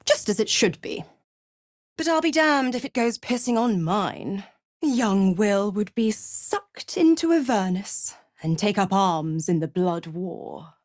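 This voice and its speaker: A woman's voice: medium pitch, lively intonation, slow, no echo, studio quality. A woman playing a mocking, scheming female devil in a video game, theatrical, teasing and condescending. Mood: mocking, smug. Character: seductive, mocking, scheming female devil, smugly cruel patron. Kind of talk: video game character acting.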